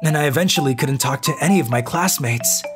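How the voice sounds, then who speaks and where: A woman voicing an anxious, self-pitying, socially awkward boy narrating his own life in an animated manga story. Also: low voice, fast, music in the background, close to an everyday voice. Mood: glum, reflective. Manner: expressive, self-deprecating monologue with outbursts.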